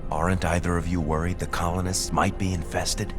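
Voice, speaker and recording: A man playing a dutiful, wary starship officer in a video game, crisp and businesslike. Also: low-pitched, flat delivery, fairly quick, over music, lightly acted. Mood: measured, wary. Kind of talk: video game cutscene acting.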